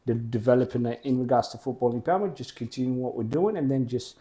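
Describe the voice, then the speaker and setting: A young man, a football program co-founder, answering interview questions plainly in short, halting clauses. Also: mid-range voice, mellow. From Australia.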